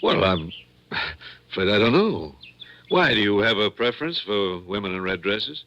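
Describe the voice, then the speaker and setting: Bright, lively, genial neighbor. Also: male voice, lowish voice, normal speed, exaggerated voice. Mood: bemused. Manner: affable, bemused. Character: genial, chatty country neighbor, father of grown daughter. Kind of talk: old-time radio drama acting. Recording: dull vintage radio recording.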